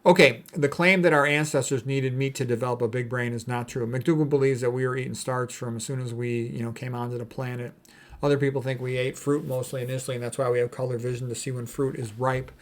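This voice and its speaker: Male video commentator, medium pitch, colloquial and blunt, informal explainer with strong opinions. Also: fairly even intonation, fast talker. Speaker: video host and health commentator.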